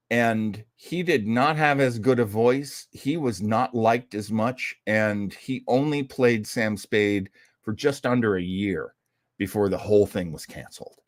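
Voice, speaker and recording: Chatty man with very animated pitch. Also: no room sound, studio quality. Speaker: host of an old-time radio show program. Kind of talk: casual commentary on classic radio history.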